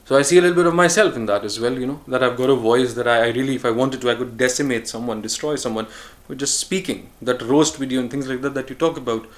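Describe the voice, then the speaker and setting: A man vlogging a reflective solo monologue, musing and earnest, with frequent pauses and restarts. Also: medium pitch. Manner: earnest, speaks of choosing calm over harshness.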